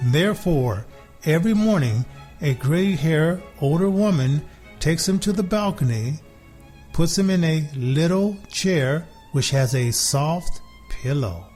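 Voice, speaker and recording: A man voicing a smug, possessive pet cat in a children's story, telling it deliberately with pauses. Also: fairly high pitch, expressive, darkish tone, soft background music. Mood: smug, unhurried.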